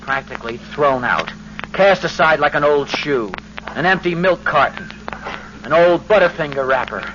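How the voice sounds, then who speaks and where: An actor playing a flustered, self-important suburban husband in 1940s radio comedy style, blustering and stammering. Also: male voice, a little high, lively intonation, normal speed, lightly acted. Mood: aggrieved grumbling. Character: indignant, self-important suburban husband, bumbling and easily ruffled. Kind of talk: 1940s radio sitcom acting. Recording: muffled, noisy old radio recording.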